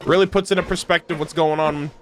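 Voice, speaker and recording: Male gaming streamer, high bright voice, slangy incredulous reactions. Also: steady tone, fast talker, a little hiss or hum. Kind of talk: casual game reaction commentary.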